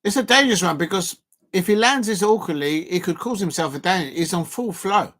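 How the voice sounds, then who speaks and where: A man's voice: sits high, fairly quick, fairly bright tone. Hesitant man hosting a football watch-along. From Colombia. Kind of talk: casual live match commentary.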